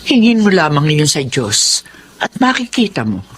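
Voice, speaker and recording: Animated, worried mother. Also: sits low, moderate pace, slightly rough, exaggerated voice. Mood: gently admonishing. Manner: concerned, moralizing. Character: worried, admonishing poor mother of grown daughters. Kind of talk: Filipino radio drama acting.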